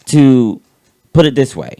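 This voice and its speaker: A man co-hosting a casual political chat show, talking with a raspy voice and vocal fry, rambling and repeating words as he swears freely. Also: mid-range voice, sing-song, unhurried, dark tone. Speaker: podcast co-host.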